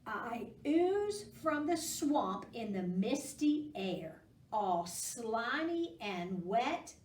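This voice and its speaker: A woman's voice: on the high side, sing-song, heavily acted. Woman playing theatrical, swooping storybook Halloween creature. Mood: playful spooky. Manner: theatrical, drawn-out, with loud exclamations. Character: playful spooky Halloween creatures from a picture book. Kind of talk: children's picture-book character reading. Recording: roomy echo, distant microphone.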